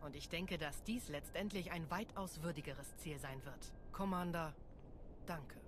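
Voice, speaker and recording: Female Jedi for a game: low voice, earnest, determined, noisy ambience behind. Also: a little slow. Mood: warm, hopeful. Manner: earnest, composed. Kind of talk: dramatic game cutscene acting.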